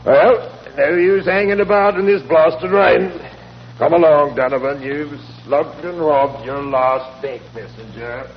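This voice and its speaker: A muffled, noisy old radio recording of a gruff police inspector. He drawls his words out slowly, stolid and grumbling. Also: on the high side. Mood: gruff satisfaction. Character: Lestrade, gruff, weary male police inspector. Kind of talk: 1940s radio acting.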